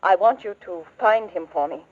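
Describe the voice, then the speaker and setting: A woman's voice: mid-range voice, moderate pace, lightly acted. A woman playing a worried, refined mother in a 1940s radio drama, speaking earnestly and hesitantly. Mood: anxious, polite. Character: worried, genteel middle-aged mother hiring a detective. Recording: dull old radio recording.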